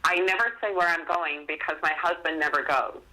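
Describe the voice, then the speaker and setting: A woman's voice: fairly low pitch, brisk, rough recording. A middle-aged woman, telling stories casually as an interview guest, chatty and self-deprecating. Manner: chatty, self-deprecating, animated retelling of exchanges. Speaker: podcast guest, author.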